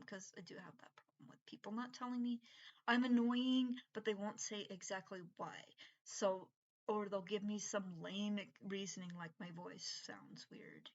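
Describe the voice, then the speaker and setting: A middle-aged woman with an unusual voice from breathing troubles, rambling in a halting, casual monologue full of fillers and restarts. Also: medium pitch, lo-fi sound. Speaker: vlogger.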